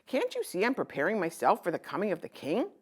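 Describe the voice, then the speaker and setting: Woman acting a curt, self-important sibling, swooping pitch. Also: huge pitch swings, quick pace, breathy edge. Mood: irritated. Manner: curt, dismissive. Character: busy, self-important older siblings brushing a child off. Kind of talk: children's storybook read-aloud.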